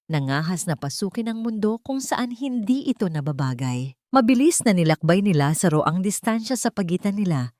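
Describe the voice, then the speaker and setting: A woman's voice: on the low side, darkish tone, no room sound, studio quality. A woman reading a scripted, dramatic love story as a storyteller.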